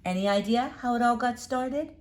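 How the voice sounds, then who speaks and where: A woman's voice: a little high, moderate pace, darkish tone, voice pushed up, close to an everyday voice. A woman voicing a direct, inquisitive female newspaper reporter in a children's storybook read-aloud, polite and probing.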